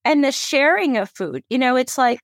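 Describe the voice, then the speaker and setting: American woman, fairly high voice, curious podcast host, casual reflective talk, dry acoustics. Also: animated, moderate pace, no echo. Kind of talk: casual conversational interviewing.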